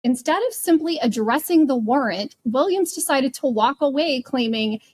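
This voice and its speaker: A woman's voice: high voice, lively intonation, normal speed, a little mellow. A woman co-hosting a podcast, telling true crime stories casually with a wry, giggly manner. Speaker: podcast co-host.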